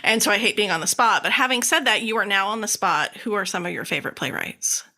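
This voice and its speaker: A woman interviews as a podcast host in a casual, upbeat style. Her voice is bright and mid-range. She is effusive and encouraging as she speaks. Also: medium pitch, lively intonation, fast.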